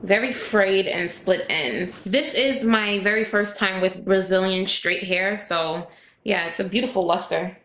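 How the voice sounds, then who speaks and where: A woman with a raspy voice, chatting casually to her viewers as she reviews hair and walks through a tutorial. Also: medium pitch, a little slow, a bit bright. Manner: chatty, addresses viewers directly. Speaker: hair vlogger.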